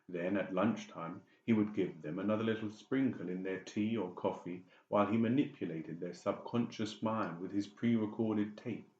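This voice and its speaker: A man's voice: sits low, darkish tone. A man reading a dramatic scripted audiobook narration. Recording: dull, echoey room recording.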